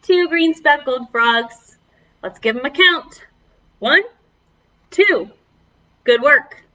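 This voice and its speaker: A woman's voice: high-pitched, lively intonation, slow, bright, forceful tone, highs cut off. A woman children's librarian leading a preschool storytime, speaking to young children in an encouraging, exaggerated way.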